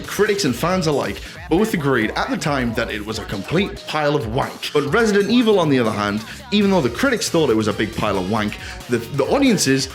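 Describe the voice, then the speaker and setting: Male host, scripted comic rant, very lively intonation, exasperated, theatrical, foul-mouthed, backing music. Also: medium pitch. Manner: sweary, exasperated, theatrical emphasis. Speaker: video essay host. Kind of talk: scripted comedic commentary rant.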